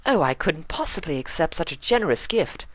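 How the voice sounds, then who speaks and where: A man's voice: fairly high pitch, big pitch swings, brisk, no room sound, pitched above the performer's own voice. A man voicing a refined, dramatic young mare with genteel, theatrical diction in a story reading. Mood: gracious.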